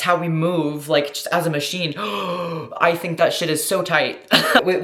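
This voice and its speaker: A woman's voice: low-pitched, a bit bright. Effusive, sweary American woman, roomy sound. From United States. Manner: effusive, slangy, heavy swearing, frequent "like". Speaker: vlogger answering questions. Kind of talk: casual Q&A chat. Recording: roomy echo.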